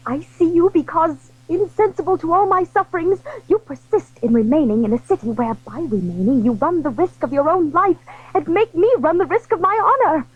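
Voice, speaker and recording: Tormented regal queen, high voice, formal and pleading, old-style audio drama, very dry sound. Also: lively intonation, normal speed, no echo, lo-fi sound. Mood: grave, reproachful. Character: anguished, majestic young queen torn between love and honor. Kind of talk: theatrical audio drama acting.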